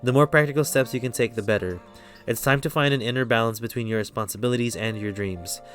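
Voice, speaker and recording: A man with an American accent giving a relaxed, rambling tarot reading on a live stream, often stopping to correct himself. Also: mid-range voice, quick pace, soft background music. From Philippines.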